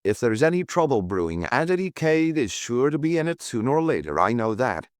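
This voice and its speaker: A man's voice: medium pitch, big pitch swings, a little fast, no echo, studio quality, voice pushed up, close to an everyday voice. Man doing a hearty, jovial old pal. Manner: chuckling, ribbing.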